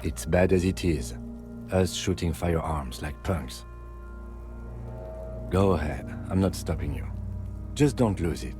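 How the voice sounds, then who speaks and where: An actor playing a calm, reserved police lieutenant who speaks formally in a video game. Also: male voice, deep voice, slow pace, long pauses, over music. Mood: composed.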